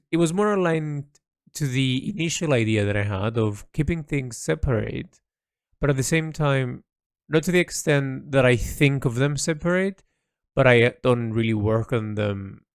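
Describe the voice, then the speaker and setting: A man's voice: mid-range voice, clear, resonant tone, dry recording, clean studio sound. A man keeping a reflective, unscripted audio log as an artist and writer, speaking hesitantly and often searching for the right word. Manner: hesitant, searching for words, trailing into "sort of". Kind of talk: reflective solo monologue, casual and unscripted.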